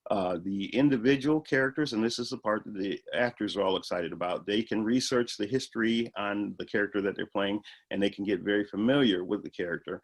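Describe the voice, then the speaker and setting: A man with a fairly low voice talks about his film project in an interview. He explains eagerly and earnestly, often stopping for "you know" and "uh". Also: a little fast, hardly any pauses. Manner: earnest, enthusiastic explaining with many fillers. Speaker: filmmaker guest. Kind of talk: interview answers.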